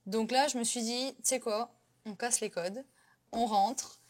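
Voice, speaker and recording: A woman's voice: on the high side, fairly even intonation, unhurried. A woman in her twenties from Côte d'Ivoire, chatting as an interview guest with lots of fillers and restarts. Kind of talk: casual interview chat.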